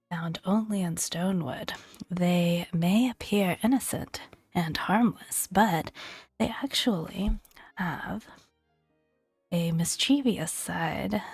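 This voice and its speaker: A woman speaking softly and breathily in a gentle, playful ASMR style, often dropping into a whisper as she reads and comments. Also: lowish voice, breathy edge, no room sound. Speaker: gaming video host. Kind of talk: soft-spoken ASMR, reading creature entries with casual commentary.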